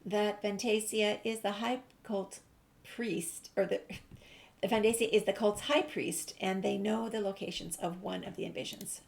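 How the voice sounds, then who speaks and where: A woman's voice: medium pitch, fairly even intonation, a little slow, creaky. Casual woman doing game commentary. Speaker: gaming video creator. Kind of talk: casual game playthrough commentary, reading dialogue choices aloud.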